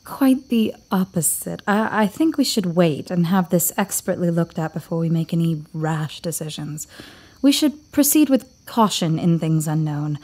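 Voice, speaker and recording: An actress playing a prim, cautious housekeeper in an audio drama. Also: female voice, fairly low pitch, animated, moderate pace, a little mellow.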